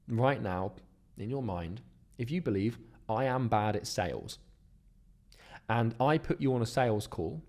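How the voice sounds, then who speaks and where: Male instructor, mellow and dark tone, steady explanatory lesson delivery. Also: mid-range voice. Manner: steady, explains step by step with some repeated words. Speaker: course instructor.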